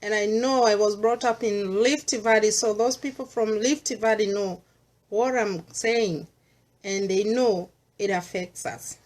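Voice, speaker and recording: A woman's voice: medium pitch, unhurried, darkish tone. Earnest Kenyan woman, middle-aged. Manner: earnest, mixes English with Swahili. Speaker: vlogger sharing personal views. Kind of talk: personal monologue.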